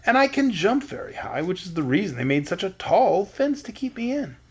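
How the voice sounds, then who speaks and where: A big, touchy storybook beast, proud of itself and prone to grand boasts, as a woman puts it on. The voice swoops widely in pitch. Its tone is breathy. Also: very animated, brisk. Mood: boastful.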